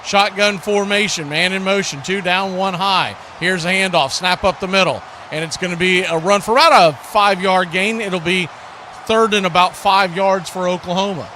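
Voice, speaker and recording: A man hosts a live football stream as play-by-play announcer. His voice sits high for a man and has a bright, forceful edge. He talks in short calls with folksy asides, over faint background noise. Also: moderate pace.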